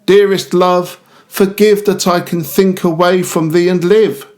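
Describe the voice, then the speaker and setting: A man's voice: sits high, fairly even intonation, measured pace, a little mellow. A man voicing a lovestruck young man who rapturously addresses the moon in declaimed verse. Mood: yearning, pleading. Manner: ardent, declaimed. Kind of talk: poetic monologue in verse recital.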